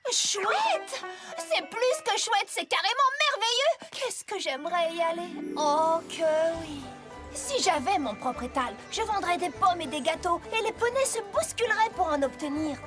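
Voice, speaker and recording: Feisty, high-pitched cartoon farm pony. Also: female voice, expressive, measured pace, over loud music. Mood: enthusiastic dreaming. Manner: emphatic, plain-spoken. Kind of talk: French-dubbed children's cartoon acting.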